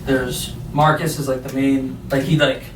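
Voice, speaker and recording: A man hosting a podcast, chatting casually, with a voice he says booms loud, laughing often. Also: level tone, unhurried, reverberant, far from the mic, background noise. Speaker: podcast host. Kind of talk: casual chat.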